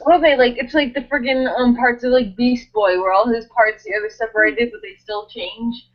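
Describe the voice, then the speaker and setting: A woman's voice: fairly high pitch, fairly even intonation, normal speed. Rambling woman, dull lo-fi sound. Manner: rambling, full of "like" and "um". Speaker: cast member of an audio drama. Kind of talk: casual chat.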